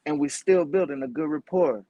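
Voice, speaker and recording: A man's voice: on the high side, normal speed, crowd noise. A man co-hosting a podcast in casual interview chat, emphatically rephrasing things for listeners. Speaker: podcast co-host.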